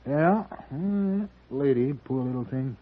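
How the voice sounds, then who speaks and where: An actor playing a folksy, hesitant frontier hotel clerk in a 1950s radio western, drawling in a raspy voice. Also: male voice, mid-range voice, expressive, slow pace. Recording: tinny old radio recording.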